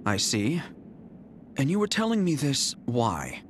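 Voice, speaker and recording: A smug genius general, calm and cold, slight hiss behind him. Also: male voice, medium pitch. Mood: haughty. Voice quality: calm, measured, haughty. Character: cool, arrogant young male strategist general. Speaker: game character. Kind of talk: game voice clips.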